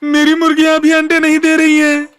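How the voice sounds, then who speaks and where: A man's voice: extremely high pitch, completely flat delivery, a little fast, fairly bright tone, soft background music. A man playing an exasperated, put-upon farmer in a cartoon dub, fussy and complaining. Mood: indignant, flustered.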